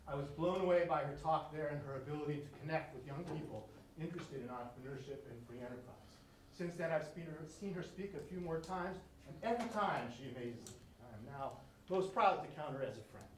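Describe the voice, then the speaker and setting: Man introducing a speaker in an echoey room. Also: a little high. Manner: admiring, reads a list of credentials. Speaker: event host introducing a speaker. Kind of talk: prepared introduction speech. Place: lecture room. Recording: roomy echo, distant mic, faint room noise.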